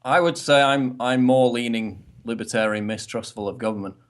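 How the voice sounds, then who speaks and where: A man from northern England whose northern English accent has been toned down over the years. He answers interview questions in a measured, explanatory way with a wry edge. His voice sits in a middle range for a man. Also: mid-range voice. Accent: softened northern English. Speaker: guest commentator.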